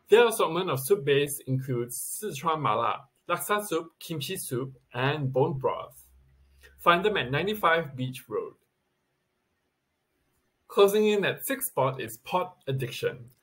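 A man's voice: a little high, fairly bright tone, slightly rough. Man reading a scripted countdown. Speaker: video presenter. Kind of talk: scripted list narration.

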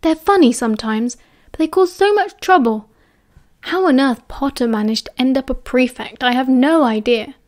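A woman's voice: high-pitched, moderate pace, a little mellow, lightly acted. Woman reading as an earnest, disapproving schoolboy. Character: earnest, disapproving teenage schoolboy, studious and mild.

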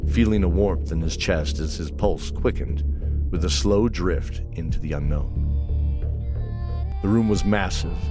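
A man's voice: low voice, natural voice. A man narrating a scripted fantasy audio drama as its storyteller.

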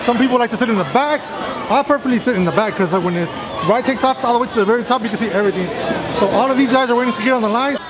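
A man's voice: extremely high pitch, very fast. A man in his thirties chatting casually to viewers while walking through an outdoor theme park, filming himself at arm's length. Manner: chatty, addresses viewers as guys. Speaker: vlogger. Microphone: handheld camera at arm's length. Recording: loud crowd and outdoor noise.

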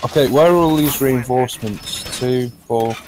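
Aussie guy gaming, cursing a lot, quick callouts, bit of game noise behind. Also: medium pitch. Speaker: gamer streaming his match. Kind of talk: casual gameplay callouts.

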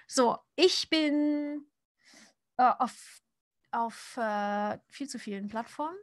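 A woman's voice: a little high, slow, no room sound, studio quality. A woman in her thirties, born in Japan, answering viewer questions in a casual chat while she draws, talking with many fillers and restarts. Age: 30s. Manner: chatty, many fillers and restarts, frequent laughs. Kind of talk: casual livestream Q&A while drawing.

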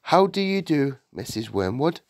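A man's voice: mid-range voice, very animated, normal speed, a little mellow, no room sound, clean studio sound, pitched above the performer's own voice. A man voicing a slim young woman schoolteacher in a storybook, polite and earnest but firm. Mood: composed, earnest.

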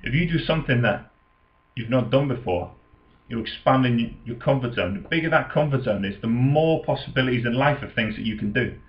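A man's voice: mid-range voice, animated, brisk. Young man, dull lo-fi recording. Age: 20s. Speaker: personal trainer giving advice. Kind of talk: casual unscripted advice to camera. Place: small room at home, indoors. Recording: dull lo-fi webcam sound.

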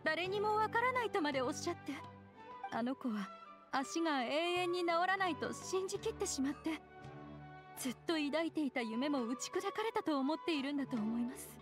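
An anxious mother from an anime game talks in a high, gentle voice. Her speech is polite and worried, with faint music behind it. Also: animated, a little fast. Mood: sorrowful. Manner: soft-spoken, polite, worried. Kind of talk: Japanese anime game voice acting.

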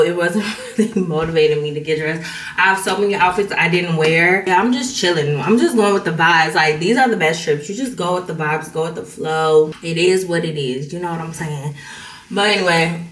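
A woman's voice: lowish voice, moderate pace, a bit bright, echoey room, a little hiss or hum, rough recording. A woman vlogger with a stuffy nose, chatting casually to her viewers while out in a city. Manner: chatty, addresses viewers as y'all. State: congested. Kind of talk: casual vlog chat. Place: outdoors in a city.

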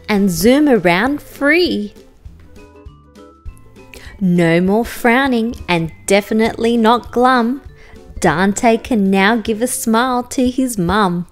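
An Australian woman with a fairly high voice reads a children's storybook at a very slow pace. She narrates expressively in careful rhyme over a music bed. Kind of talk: children's picture book read aloud, scripted rhyming narration.

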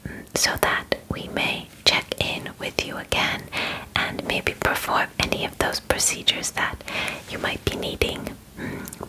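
A young woman whispers slowly in an ASMR roleplay, close to the microphone. She plays a polite alien examiner with formal, deliberate phrasing. Her delivery is clinical yet soothing and reassuring. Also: poor audio. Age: 20s. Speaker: ASMR performer. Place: small bedroom, indoors.

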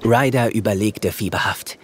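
A man's voice: fairly low pitch, normal speed, a bit bright, a little hiss or hum. A man narrating a scripted audio story for children.

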